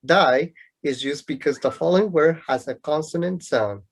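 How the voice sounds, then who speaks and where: An actor playing a know-it-all young student in a radio play, lecturing pedantically and with some exasperation in a husky voice. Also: mid-range voice, normal speed, natural voice. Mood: pedantic. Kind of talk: amateur radio play acting.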